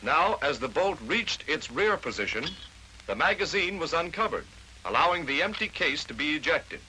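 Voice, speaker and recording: A man's voice: a little high, sing-song, normal speed, sharp tone, slight background noise, rough recording. An American man narrating a scripted 1940s instructional film, explaining step by step to the viewer on an old film soundtrack. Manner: clear step-by-step explanatory delivery, addressing the viewer. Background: voice-over on a training film.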